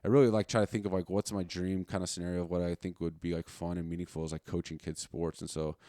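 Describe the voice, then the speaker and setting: Male podcast host, late 30s, low voice, dry sound. Informal, sweary, unscripted rambling. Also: level tone, fast, mellow, dry recording. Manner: profane, slangy, informal. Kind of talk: casual rambling solo chat.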